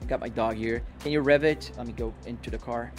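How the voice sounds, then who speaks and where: A man's voice: mid-range voice, steady tone, fairly quick. A man from Brazil, a native Portuguese speaker, chatting casually on a livestream as he answers viewer questions from a driving simulator. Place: home office sim rig. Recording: music playing behind the voice. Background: music, car engine sounds from game.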